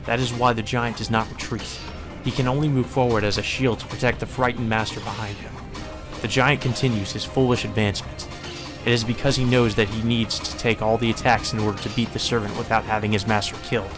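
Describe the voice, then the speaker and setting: A man's voice: lowish voice, flat delivery, fairly quick. A man reading a visual novel aloud as solemn, dramatic scripted narration. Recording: music behind the voice.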